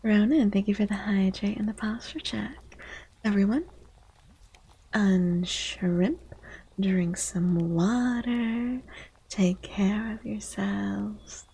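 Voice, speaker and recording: A woman hosts a soft-spoken ASMR stream in a very breathy, half-whispered voice. Her delivery is slow and mellow, with plenty of creak at the edges. She chats gently and often repeats little phrases. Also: mid-range voice, fairly even intonation, low-quality recording. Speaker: livestream host chatting with viewers.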